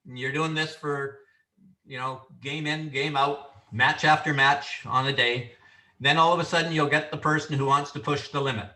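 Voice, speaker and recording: A man from Canada lecturing referee trainees, loud and sure of himself, his voice bright and a touch high. He sits back from the mic in an echoey room, so the sound is roomy and distant. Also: fairly even intonation, moderate pace. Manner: loud, confident, instructive delivery. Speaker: referee instructor.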